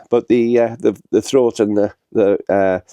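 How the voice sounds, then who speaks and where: A man's voice: lowish voice, steady tone, takes it slowly, gravelly, dark tone, no echo. A man, congested with a head cold, chatting to camera in a rambling, casual vlog with lots of fillers and restarts. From northern England. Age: 60s. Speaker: vlogger. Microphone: small handheld camera.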